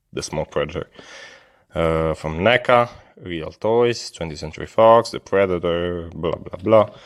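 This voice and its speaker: A low-pitched man with an Italian accent talks through a review in a casual, unscripted way. His English is that of a native Italian speaker, hesitant and halting. He comes across as an apologetic, informal video host. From Italy.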